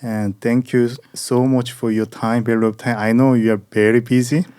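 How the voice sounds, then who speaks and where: A man from South Korea hosting a podcast interview in English with a Korean accent, speaking in careful, halting phrases full of pauses. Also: mid-range voice, flat delivery, darkish tone, clean tone, no room sound. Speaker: podcast host. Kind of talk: casual interview chat.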